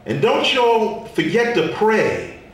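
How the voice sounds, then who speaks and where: A man's voice: high-pitched, huge pitch swings, a bit bright, voice pushed up, exaggerated voice. Man performing a sassy, weary Statue of Liberty, echoey. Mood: sassy, preachy. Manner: dramatic, sing-song rhymed delivery with drawn-out words. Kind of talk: live spoken word poetry performance. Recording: echoey room, distant mic, faint noise.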